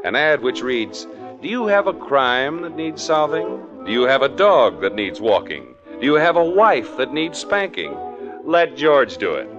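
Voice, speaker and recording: A man's voice: medium pitch, very animated, a little slow, muffled recording, over music. A male announcer reading a scripted radio commercial and narration in a polished, emphatic way, on an old radio recording with an orchestra.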